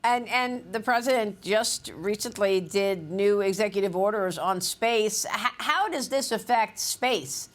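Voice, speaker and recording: A woman's voice: medium pitch, a little slow, hardly any pauses. Polished woman news anchor. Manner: polished, upbeat broadcast delivery. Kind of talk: scripted news intro and interview questions. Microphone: studio.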